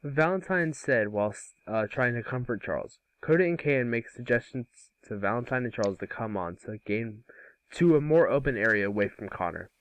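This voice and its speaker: A man narrating a script aloud in an amateur table read, with a halting, stumbling delivery. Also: mid-range voice, expressive, no echo, rough recording.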